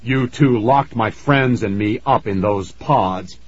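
A man's voice: on the low side, slow, husky, lo-fi sound. A man voicing a vengeful male ghost villain in a children's horror audiobook, menacing and declamatory. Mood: raging.

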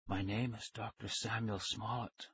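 A man voicing a small, secretive old scientist with a thin, breathy, hushed voice and a measured, earnest way of talking. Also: fairly low pitch, little pitch movement, muffled recording, exaggerated voice. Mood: hushed, insistent.

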